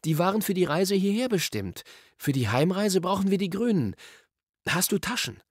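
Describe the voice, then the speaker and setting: A man voicing an eager, curious, bossy young schoolboy in a storybook, explaining things with impatient excitement. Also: sits high, moderate pace, breathy edge, no echo, clean studio sound, voice pushed up, heavily acted.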